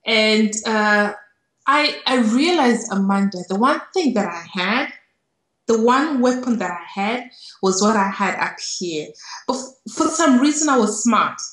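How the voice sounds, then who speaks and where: A woman's voice: on the high side, expressive, measured pace, fairly bright tone. A middle-aged woman with a Kenyan accent tells her personal story reflectively as an interview guest, repeating words for emphasis, over a video call. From Kenya, grew up in Nairobi. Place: small indoor room on a video call. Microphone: earphone headset. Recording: roomy, echoing video call sound.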